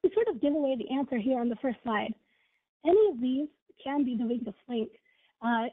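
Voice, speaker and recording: A woman with a scratchy voice from being ill presents a webinar as a product manager, in an upbeat way with many fillers like "you know" and "right". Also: fairly high pitch. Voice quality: husky. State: scratchy voice from illness. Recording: tinny phone-line audio.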